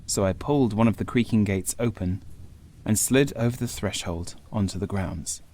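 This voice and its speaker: A man reading a scripted first-person gothic horror story in a measured, literary manner. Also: fairly low pitch, mellow. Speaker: audiobook narrator.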